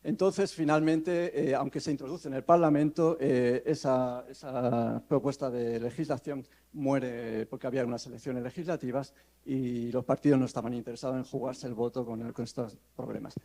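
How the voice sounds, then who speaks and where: A man presents a law lecture at a conference panel, his tone mellow and dark. He hesitates often, breaking off and restarting phrases as he thinks aloud. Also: medium pitch, steady tone, normal speed. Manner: hesitant, many fillers and restarts. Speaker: law professor giving a panel talk. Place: conference hall.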